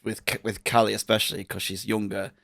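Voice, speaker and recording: Gravelly low-voiced man with a cold. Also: level tone, a little fast, a bit bright, no room sound, clean studio sound. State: full of cold, voice rough. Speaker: podcast co-host. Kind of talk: casual chat.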